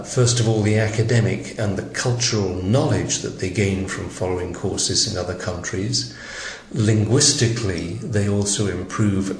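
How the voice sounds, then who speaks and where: A man from Wales answers interview questions as a professor, speaking thoughtfully with occasional restarts. His voice is low, and the echoey room carries a faint background hiss.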